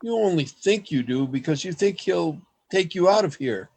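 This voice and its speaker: An actor in a radio play playing an earnest, desperate man in love, with a husky voice and a dramatic, pleading delivery. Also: high-pitched, animated, moderate pace, a little mellow, full character voice. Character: anguished lovesick man, earnest, desperate, hiding among others.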